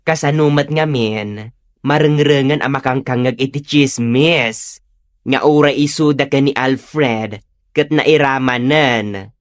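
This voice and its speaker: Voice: mid-range voice, slow pace, close to an everyday voice. An actor of unclear sex playing a chatty village neighbour passing on gossip in a comedy audio drama. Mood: confiding.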